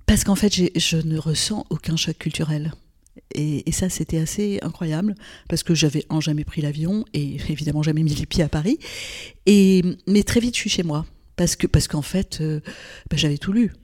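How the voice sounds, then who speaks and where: A woman's voice: low voice, moderate pace, darkish tone. An older woman from Lebanon, a gynecologist speaking as a guest in an interview, thoughtful, with frequent hesitations and restarts. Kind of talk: reflective interview conversation.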